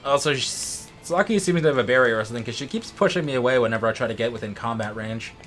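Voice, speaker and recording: A man's voice: medium pitch, quick pace, a bit bright. A man from the United States gives casual let's play commentary as a co-host. He rambles and circles back over his phrases in a mid-range voice, with light game music underneath. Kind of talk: casual gaming chat and commentary.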